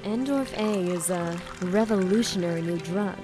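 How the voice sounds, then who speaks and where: A woman's voice: lowish voice, moderate pace, music in the background, natural voice. A woman playing a calculating, self-assured female scientist villain in an anime dub, with composed, coaxing delivery. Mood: proud. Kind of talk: dramatic anime dub acting.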